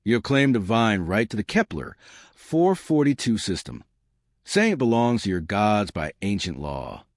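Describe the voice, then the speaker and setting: A man voicing a quiet, compassionate male diplomat in a sci-fi story, speaking gently and with certainty. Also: fairly low pitch, big pitch swings, a little slow, no echo, studio quality. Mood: gentle, solemn. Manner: soft-spoken, gentle, certain.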